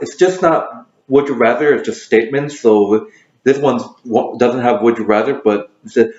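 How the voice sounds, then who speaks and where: A man's voice: medium pitch, darkish tone. A man hosting a casual question game, talking with many fillers and restarts. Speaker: host. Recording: roomy, dull lo-fi sound.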